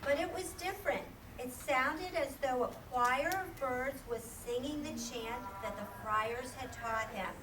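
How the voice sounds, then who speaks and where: A high-voiced woman tells a scripted story as narrator of a children's puppet show. She is recorded from a distance in an echoey room, with clear background noise. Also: a little slow, few pauses.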